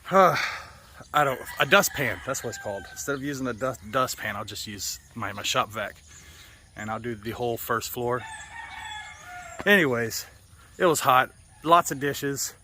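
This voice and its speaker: An American man chats in an offhand, rambling vlog style with plenty of swearing. His voice is bright and sharp, at an average pitch for a man. He is recorded outdoors, with birds and roosters in the background noise. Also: animated, moderate pace. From United States. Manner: profane, offhand. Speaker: vlogger. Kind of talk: casual rambling vlog chat. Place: outdoors in a yard in the Philippines. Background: birds, roosters and outdoor ambience.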